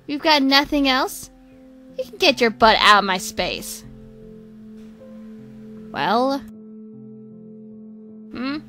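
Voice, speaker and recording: A woman voicing a crude, grumpy male twin with a soft side in an amateur comic dub, sarcastic and hammy. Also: sits high, sing-song, slow pace, long pauses, soft background music, heavily acted. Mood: sulky, mocking. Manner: sarcastic, blunt, sweary, hammy outbursts.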